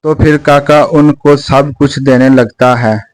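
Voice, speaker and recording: Guy narrating a kids moral story, slow, dry close sound. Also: mid-range voice, fairly even intonation, no room sound.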